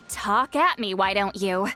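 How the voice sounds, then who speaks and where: A woman's voice: mid-range voice, slow, gravelly, pushed and bright, faint music behind, exaggerated voice. An actress in a video game playing a centuries-old but youthful-sounding shaman, haughty, curt and sarcastic. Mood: sarcastic, irritated.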